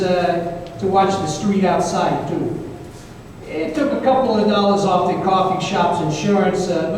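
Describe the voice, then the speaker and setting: A man's voice: sits high, a little mellow. A man playing a wry, world-weary private eye, telling his case in old-time radio style with a folksy, hesitant delivery. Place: live stage performance in an echoey room. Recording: echoey hall, distant mic, faint noise.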